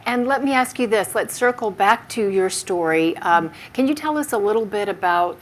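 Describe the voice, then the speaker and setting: A woman's voice: mid-range voice, lively intonation, moderate pace, a little hiss or hum. A woman moderating a panel discussion, asking questions and giving short affirmations. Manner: asks questions, gentle affirmations.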